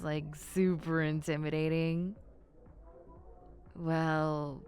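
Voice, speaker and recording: A woman acts out a popular schoolgirl who has had a few drinks, in an intimate roleplay. Her voice sits low, and she drags her words out very slowly. She is coy and teasing, hesitant and prone to giggles. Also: dark tone, slight background noise, heavily acted. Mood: tipsy, embarrassed. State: acting drunk. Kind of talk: intimate roleplay monologue to the listener.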